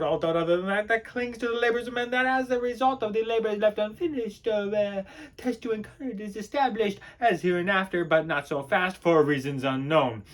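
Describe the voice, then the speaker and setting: An actor as a wretched servant in an absurdist stage play, delivering a broken pseudo-scholarly tirade. The voice sits at a medium-to-high speaking pitch for theatre, declamatory and unstable. Phrases stammer and repeat, swinging between murmur and cry. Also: fairly bright tone. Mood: pompous, halting.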